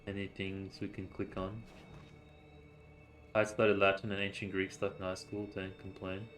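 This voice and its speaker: A man's voice: low-pitched, completely flat delivery, normal speed, a bit bright, soft background music. A man, a native Vietnamese speaker, chatting casually and rambling through gameplay commentary on stream.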